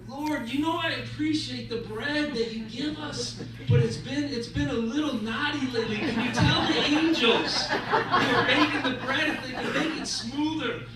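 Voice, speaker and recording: A man's voice: very high-pitched, normal speed. A man voicing an earnest poor janitor praying aloud in a story told to a live audience. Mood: politely asking. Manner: pleading, exclaiming prayer. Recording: very echoey room, distant mic, noisy.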